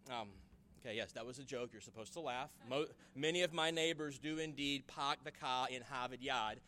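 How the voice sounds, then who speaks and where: A man's voice: medium pitch, moderate pace, slight background noise. A man from Memphis, preaching a conversational guest sermon with jokes and rising questions. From Memphis, Tennessee. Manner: conversational, joking, lively rises on questions. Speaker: guest preacher, church planter.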